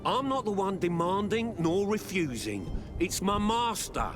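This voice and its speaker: Slippery servile game clerk, kinda whiny, highish voice swinging around a lot, music behind. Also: male voice, sing-song, a little slow. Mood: defensive. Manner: deferential, whiny, excuse-making. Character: evasive merchant's apprentice, servile, stubborn, slippery underling. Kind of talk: scripted video game dialogue.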